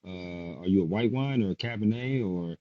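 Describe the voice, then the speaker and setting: A man's voice: low-pitched, normal speed. A man hosting a casual podcast interview, firing off playful questions with some slang. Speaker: podcast host. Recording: dull lo-fi sound.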